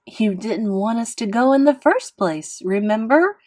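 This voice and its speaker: Woman reading as a measured, placating mother. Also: on the high side, lively intonation, a little mellow.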